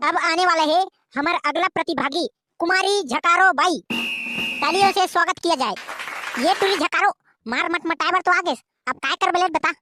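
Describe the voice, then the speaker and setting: A performer voicing a gruff male village schoolteacher who hosts the show and scolds the pupils, with a Chhattisgarhi accent and a high cartoon voice. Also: normal speed, background noise. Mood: announcing. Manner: announcing and scolding, calls pupils names. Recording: cartoon voice over faint music.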